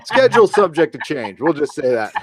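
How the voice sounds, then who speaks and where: A man's voice: a little high, big pitch swings, a little fast, no echo. A man hosting a live podcast in casual chat, rambling and joking, with some swearing. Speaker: podcast host.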